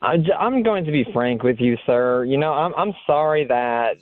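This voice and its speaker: A man's voice: medium pitch, expressive, normal speed, dry recording. An American man on a phone call with police, speaking in a flustered, defensive manner. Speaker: caller being questioned by police. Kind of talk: phone conversation. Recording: tinny lo-fi recorded phone call.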